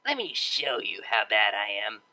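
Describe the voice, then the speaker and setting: A cocky young unicorn DJ from a pony story, acted out by a narrator, bright in tone and lively in pitch. She drawls casually and teases with sly, playful confidence. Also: unhurried, heavily acted. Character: cocky, playful slacker unicorn mare DJ, teasing.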